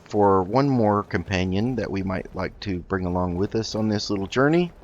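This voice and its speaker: Male gaming host, low pitch, polite, halting, improvised roleplay commentary, slightly dull recording. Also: lively intonation, darkish tone, a little hiss or hum.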